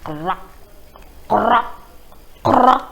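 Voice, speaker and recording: A woman voicing a cold, polite frog in a storybook, croaking loudly as she asks to come in. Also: a little high, lively intonation, very slow, a little hiss or hum, full character voice. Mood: pleading. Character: croaking shy male frog.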